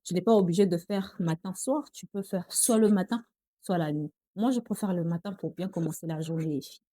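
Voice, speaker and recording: A woman's voice: lowish voice, brisk, warm tone, no room sound. A young woman with a Congolese accent chatting to her viewers in a casual vlog, often calling them girls. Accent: Congolese French. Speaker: vlogger. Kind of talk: casual talk to camera.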